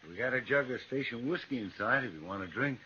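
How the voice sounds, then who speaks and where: An actor playing a gruff, prickly American stagecoach messenger in 1950s western radio drama style, with clipped talk. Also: male voice, medium pitch, animated, quick pace, sharp tone. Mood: cooperative. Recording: dull, noisy 1950s radio recording.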